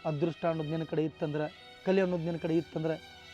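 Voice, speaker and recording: A man's voice: on the high side, level tone, fast talker, dark tone, close to an everyday voice. A man playing a stern, caring mentor. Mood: earnest counsel. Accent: North Karnataka Kannada. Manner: lecturing, firm, earnest. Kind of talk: short film drama. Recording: slightly dull with faint music.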